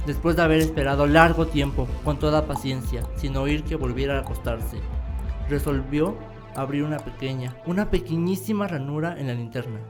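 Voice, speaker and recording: A man narrating a scripted radio drama in a measured, dramatic storytelling manner. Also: a little high. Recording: clear music behind the voice.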